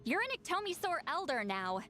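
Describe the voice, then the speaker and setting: A woman's voice: very high-pitched, big pitch swings, fairly quick, bright, forceful tone, exaggerated voice. A woman playing an ageless, youthful-sounding great shaman in an anime game, prickly, aloof and blunt. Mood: grumbling. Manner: blunt, grumbling, dismissive. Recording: music playing behind the voice.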